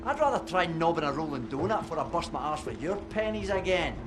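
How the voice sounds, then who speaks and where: An actor playing a sardonic, slangy Scottish thief in a video game cutscene. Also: male voice, fairly high pitch, sing-song, fast, background noise. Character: irreverent, cynical Scottish antiquities thief, adult man. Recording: music behind dialogue.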